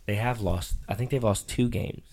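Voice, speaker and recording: A man's voice: on the low side, moderate pace, vocal fry, darkish tone. A middle-aged man, a father co-hosting a casual chat about game picks, patient and dryly teasing in a matter-of-fact way.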